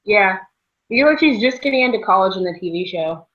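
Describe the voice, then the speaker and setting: A woman's voice: medium pitch, expressive, a little fast, a bit bright. A young woman co-hosting a casual, chatty discussion about books. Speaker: book discussion show co-host. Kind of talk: casual book chat. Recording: dull, lo-fi sound.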